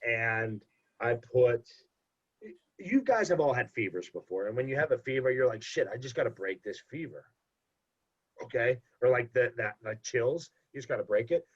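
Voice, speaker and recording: A young man with a husky, congested voice tells a rambling story, repeating phrases for emphasis. The recording is dull and lo-fi. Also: medium pitch. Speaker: podcast guest. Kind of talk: casual storytelling. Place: home. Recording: dull lo-fi podcast audio.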